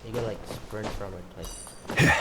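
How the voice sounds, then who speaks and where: A man's voice: on the low side, steady tone, slow, very noisy. A man giving casual, rambling gameplay commentary with jokey asides. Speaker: gamer, channel host. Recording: loud game music over voice.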